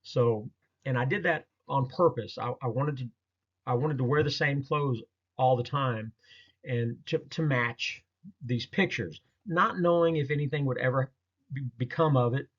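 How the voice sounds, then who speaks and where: A man talking casually through a slideshow of his own experiences, in a halting, rambling, folksy way. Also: mid-range voice. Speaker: presenter recounting a field experience. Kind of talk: casual narrated slideshow storytelling. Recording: dull lo-fi audio.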